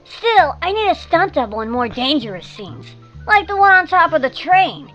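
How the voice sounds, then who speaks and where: Woman as a high, brash cartoon pony actress. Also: big pitch swings, moderate pace. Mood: casual grumbling. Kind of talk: scripted comic dub, mock interview. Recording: dull sound with music behind.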